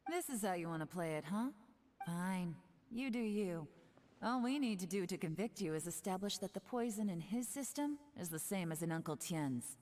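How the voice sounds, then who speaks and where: A woman's voice: lowish voice, lively intonation, moderate pace, a little mellow, natural voice. An actress voicing a shrewd, stern female investigator in a video game, confident, dry and cutting. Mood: cool, mocking. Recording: long reverb. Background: faint music.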